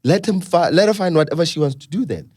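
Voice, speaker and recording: A man with a South African accent co-hosting a podcast, talking loudly and animatedly, swearing freely in casual chat. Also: on the high side, lively intonation, fast talker, slightly rough, no room sound, studio quality. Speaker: podcast co-host.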